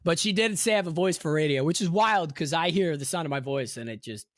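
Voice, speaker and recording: A man's voice: fairly high pitch, quick pace, no room sound, clean studio sound. A young man co-hosting a podcast, chatting casually and slipping into mock voices for jokes. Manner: animated, jokey, breaks into mock voices. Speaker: podcast co-host. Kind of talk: casual chat.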